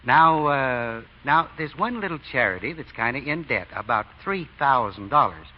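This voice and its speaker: A man's voice: mid-range voice, animated, moderate pace, a little hiss or hum, lightly acted. A man playing a sly, folksy fake deacon in 1940s radio acting, drawling homespun sayings. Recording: dull lo-fi old radio broadcast.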